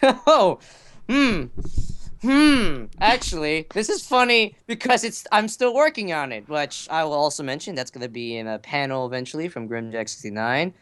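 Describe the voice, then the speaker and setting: A young man chatting casually as a podcast guest, excitable and sweary, poking fun at himself with theatrical bursts. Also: a little high, normal speed, clean tone. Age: young adult. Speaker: podcast guest, amateur voice actor and fandubber. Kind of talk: casual chat.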